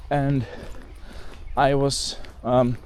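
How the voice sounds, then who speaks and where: A man's voice: mid-range voice, little pitch movement, very slow, a little mellow. A man with a Dutch accent, a native Dutch speaker, chatting casually and rambling as he walks outdoors, often correcting himself and leaning on "like" and "um.". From Netherlands. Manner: rambling, self-correcting, lots of "like" and "um". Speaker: bird photographer vlogger. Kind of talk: casual rambling walk-and-talk. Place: outdoors in open countryside, walking. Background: faint outdoor ambience with passing cars.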